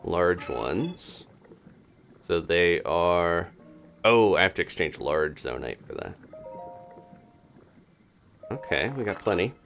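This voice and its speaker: A man's voice: deep voice, animated, takes it slowly, creaky, pauses often, fairly bright tone, faint music behind. A man chatting casually through a live-streamed game, wondering aloud and laughing often. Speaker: streamer playing a video game.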